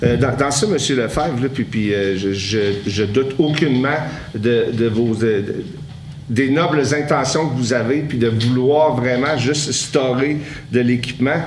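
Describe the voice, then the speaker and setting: Halting Québécois man chairing a meeting. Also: mid-range voice, reverberant, slight background noise. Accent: Québécois French. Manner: halting, many fillers and restarts. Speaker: mayor chairing a council meeting. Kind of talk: meeting question period. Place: municipal council chamber.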